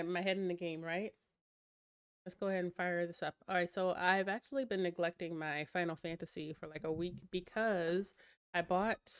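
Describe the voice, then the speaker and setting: A woman's voice: on the low side, smooth, dry recording, clean studio sound. Chatty streamer woman with a cold. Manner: chatty, rambling, self-mocking asides. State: slight cold, sniffling. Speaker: variety game streamer. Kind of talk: casual live-stream chat while gaming.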